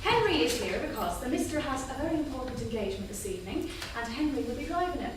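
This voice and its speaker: A woman playing a high-voiced scolding Irish housekeeper, echoey. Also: big pitch swings. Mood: brisk, chiding. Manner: brisk, chiding. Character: bustling, scolding Irish housekeeper, fond underneath. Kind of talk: staged script reading. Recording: echoey theatre, distant, clearly noisy.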